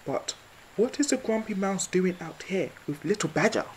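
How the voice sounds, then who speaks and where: A woman voicing a big, kindly male brown bear in a children's story, gentle and unhurried. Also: mid-range voice, lively intonation, husky, voice pushed up.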